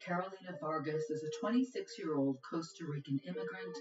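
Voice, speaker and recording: A woman reading scripted documentary narration in a measured, even way. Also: sits low. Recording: roomy, distant, dull audio with music.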